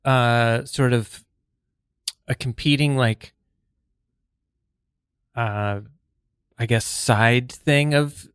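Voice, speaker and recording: A man in a casual group chat, an improv comedian who speaks haltingly and trails off as he thinks. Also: medium pitch, clear, resonant tone, no room sound, studio quality. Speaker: improv comedian teaching on a podcast.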